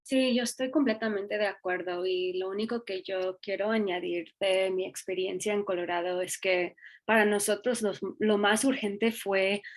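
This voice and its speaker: A woman advocate explains her experience in a measured, steady way on a video call. Her voice is mid-pitched and plain. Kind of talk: workshop discussion.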